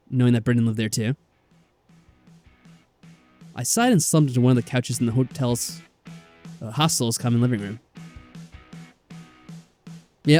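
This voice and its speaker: A man doing casual let's play commentary, reading game text aloud with animated, mildly sarcastic reactions and some swearing. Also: medium pitch. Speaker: let's player. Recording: music behind the voice.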